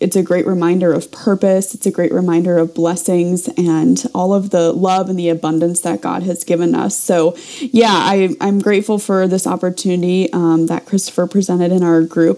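Young woman, earnest and grateful, mellow warm tone, casual video-call sharing. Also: on the low side. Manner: earnest, many fillers and restarts. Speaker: guest participant. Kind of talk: casual sharing in a faith discussion.

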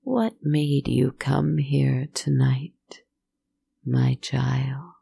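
A storyteller voicing an old woman fortune teller, speaking in a slow, hissing whisper that is low and gravelly. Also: fairly even intonation, dark tone, no room sound, full character voice. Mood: coaxing.

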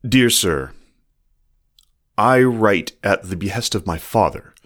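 A man's voice: on the low side, expressive, takes it slowly, fairly bright tone. A man voicing a lovesick young male fox, reading his formal, tender letters aloud. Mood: stiff, formal.

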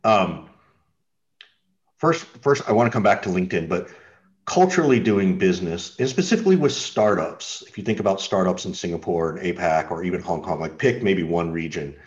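A man's voice: low-pitched, lively intonation, brisk, highs cut off. A man hosting a podcast, asking curious, rambling questions. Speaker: podcast host. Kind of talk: casual podcast conversation.

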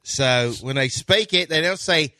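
A man's voice: a little high, a little slow, sharp tone, dry recording. A man hosting a sports talk radio show, rambling and joking, often restarting his sentences.

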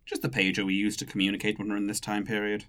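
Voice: low-pitched, completely flat delivery, rapid-fire, noisy background, lightly acted. An actor in an audio drama playing a polite, reserved time-travelling train captain with formal, slightly awkward phrasing. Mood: businesslike.

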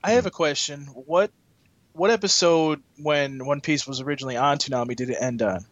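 A man's voice: a little high, a little fast, dull audio. A man in his late twenties on a casual podcast, asking questions hesitantly with restarts. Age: late 20s. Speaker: podcast co-host.

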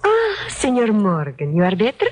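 An actress playing a proud, determined Mexican widow in a 1950s radio drama, with a Mexican accent and formal, earnest phrasing. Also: female voice, fairly high pitch, huge pitch swings, normal speed. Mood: solicitous.

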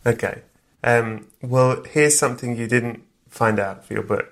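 A man's voice: medium pitch, steady tone, unhurried, a bit bright. A man hosting a podcast, chatting casually with a guest in a jokey, teasing manner. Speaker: podcast host. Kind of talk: casual interview chat.

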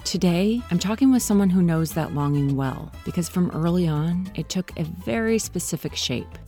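Calm low-voiced woman narrating. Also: moderate pace, soft background music. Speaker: podcast host. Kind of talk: scripted podcast narration.

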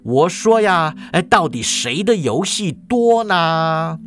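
Cranky old principal, raised pitch, dismissive, done by a man. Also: sing-song, slow, a bit bright, full character voice. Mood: scoffing.

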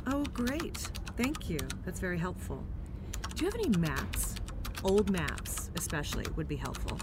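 Gracious, lively newcomer, lowish voice. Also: female voice, moderate pace, noisy background. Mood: polite, tentative. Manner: courteous, slightly formal. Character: gracious, polite newcomer woman from New York City. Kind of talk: audio drama acting.

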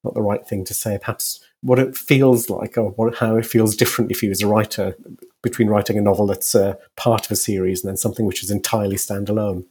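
A man's voice: on the low side, fairly even intonation, fast, a little mellow, husky. A man interviewing a guest conversationally, asking wordy questions that circle and correct themselves. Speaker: podcast interviewer.

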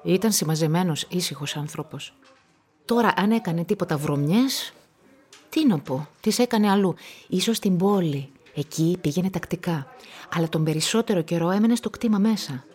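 Husky, gossiping village woman. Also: fairly low pitch, animated, darkish tone. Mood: confiding. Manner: colloquial, musing. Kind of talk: naturalistic radio drama acting.

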